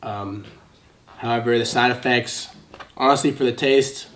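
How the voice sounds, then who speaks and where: A young man from Illinois answering interview questions in a relaxed, unhurried way. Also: medium pitch. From Illinois, USA. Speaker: student council member.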